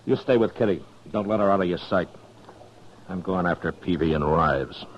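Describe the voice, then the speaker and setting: Actor as deep-voiced, stern frontier marshal. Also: male voice, normal speed, a little hiss or hum, natural voice. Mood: concerned, firm. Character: stern, steady frontier town marshal, adult man. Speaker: lead actor and narrator. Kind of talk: 1950s western radio drama acting. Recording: muffled old radio recording, dramatic music.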